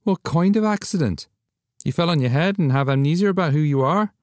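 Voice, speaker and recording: A man's voice: on the high side, animated, fairly quick, darkish tone, no echo, voice pushed up, lightly acted. Man doing a brash, flirtatious photographer. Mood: teasing, incredulous. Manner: teasing, exclamatory. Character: Irish male photographer, flirtatious, brash, excitable.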